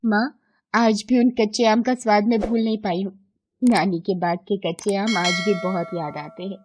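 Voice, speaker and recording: A woman voicing a devoted, grateful granddaughter with an earnest, expressive delivery in a moral story. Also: medium pitch, normal speed, soft background music, lightly acted. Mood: eager. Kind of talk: acted children's moral story.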